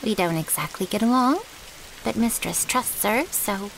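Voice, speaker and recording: A woman's voice: mid-range voice, lively intonation, moderate pace, gravelly, fairly bright tone, a little hiss or hum, rough recording. A woman playing a brash, loyal young vampire's servant in an ASMR audio roleplay, with a cocky, blunt, casual way of talking. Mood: glum, confiding.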